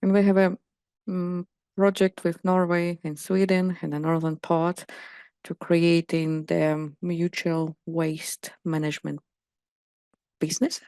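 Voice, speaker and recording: A woman from Finland asking a question in an online workshop, pausing often with fillers. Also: lowish voice, little pitch movement, mellow, clean tone, dry recording. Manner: hesitant, many fillers. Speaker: workshop participant.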